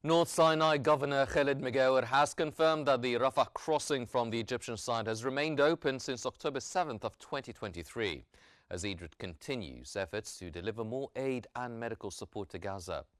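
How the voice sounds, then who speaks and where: A man working as a news anchor, reading a scripted bulletin in a formal, measured newsreader delivery. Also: medium pitch, a bit bright, dry recording, studio quality.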